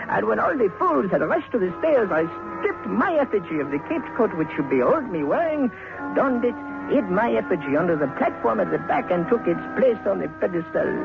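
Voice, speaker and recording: Creaky, high-voiced sinister little killer. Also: male voice, phone-quality sound. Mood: gloating. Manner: mannered, halting, with 'eh?' and sinister chuckles. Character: small sinister French murderer, suavely polite, gleefully mad. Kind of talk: old radio horror acting.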